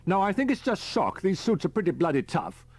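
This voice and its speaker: A man voicing a brisk, gruff male army captain in an audiobook, clipped and matter-of-fact. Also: sits high, sing-song, no echo, pitched above the performer's own voice, exaggerated voice. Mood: urgent.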